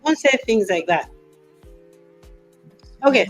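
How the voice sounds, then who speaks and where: A woman in her fifties hosting a casual talk show, teasing and animated as she reads out viewer comments. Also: on the high side, slow, soft background music. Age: 50s. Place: in America, away from her home country.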